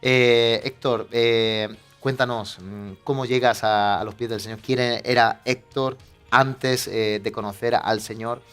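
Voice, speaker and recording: Joking pastor hosting. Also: male voice, mid-range voice, steady tone, fairly bright tone, clear, resonant tone, soft background music. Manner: friendly, joking, drawn-out fillers. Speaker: pastor and program host.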